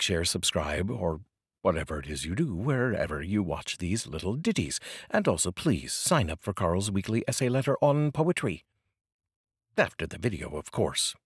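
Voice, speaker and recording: Deep, husky man reading expressively. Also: no room sound, clean studio sound. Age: middle-aged. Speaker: poetry reader, channel host. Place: small curtained home studio, indoors. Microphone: close studio microphone on boom arm with pop filter.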